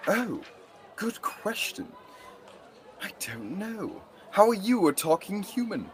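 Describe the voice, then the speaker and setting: Casting: a student actor as a quippy talking book, cheeky temper, very animated, comic radio play, slightly noisy sound. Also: mid-range voice, slow, some chatter behind. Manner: cheeky, quippy, answers questions with questions. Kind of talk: student radio theatre acting.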